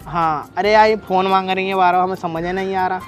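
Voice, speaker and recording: High-pitched cheeky Bhojpuri-accented young man. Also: steady tone, brisk. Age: young adult. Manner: rustic, teasing, cheeky. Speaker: prankster. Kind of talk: staged loud phone chat for a street prank. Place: outdoors in a leafy park. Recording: outdoor recording with music underneath. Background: music.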